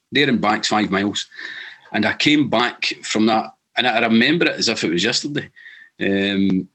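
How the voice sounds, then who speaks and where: A middle-aged Scottish man with a slightly low voice talks through his own story. He is earnest and halting, restarting his sentences often, like a guest sharing something personal. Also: pushed and bright, a little hiss or hum, low-quality recording. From Scotland. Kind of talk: casual storytelling.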